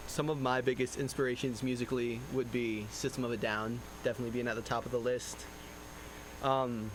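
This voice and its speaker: Male drummer guest from New York, flat intonation, understated, clearly noisy off-mic recording. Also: medium pitch. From New York, United States. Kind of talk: casual interview answers. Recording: noisy, off-mic studio pickup.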